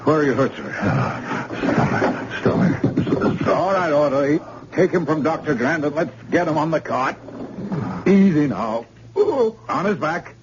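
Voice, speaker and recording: A steady reassuring ER doctor. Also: male voice, fairly high pitch, a little slow, pauses often. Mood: calm. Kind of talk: 1970s radio drama acting. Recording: dull old radio with loud noise.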